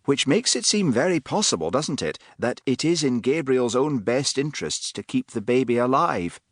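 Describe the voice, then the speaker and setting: A curt, exasperated man who makes his points emphatically, as a low-voiced narrator pushes his voice higher. His pitch moves in a lively way. The recording is dry and close. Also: moderate pace, no echo.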